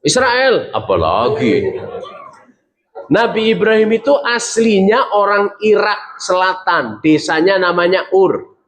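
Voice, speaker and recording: A man's voice: sits high, very animated, slow, fairly bright tone. A middle-aged man, preaching a sermon as a story, acting out the voices he quotes and putting questions to the audience.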